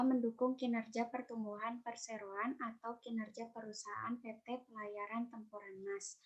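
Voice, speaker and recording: A young woman presents as a student in a class. Her voice sits on the high side and her delivery is halting. The sound is dull and low in fidelity. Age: young adult. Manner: halting, frequent hesitations. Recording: dull, lo-fi audio.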